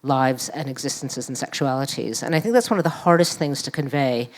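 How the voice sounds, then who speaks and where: A middle-aged woman with a New York accent speaking conversationally at a public talk, halting and thoughtful. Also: extremely low pitch. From New York, USA. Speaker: panel speaker, academic and activist. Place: live event room at a museum.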